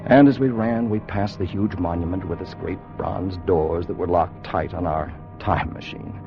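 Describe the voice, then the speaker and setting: An actor playing an earnest, protective time traveller who narrates with solemn, theatrical intensity in a 1950s radio drama. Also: male voice, deep voice, moderate pace. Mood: grave, suspenseful. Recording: muffled vintage radio recording with music.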